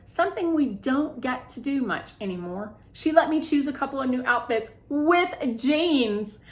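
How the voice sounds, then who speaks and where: A woman reading a children's novel aloud expressively, with deliberate phrasing. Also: fairly high pitch, fairly bright tone, reverberant. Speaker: read-aloud reader.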